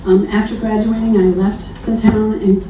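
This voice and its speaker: A woman from New Lebanon, New York, reading a prepared public comment in a measured, earnest way at a town hearing. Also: mid-range voice, level tone, background noise. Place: town hall meeting room. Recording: echoey distant lo-fi hall recording.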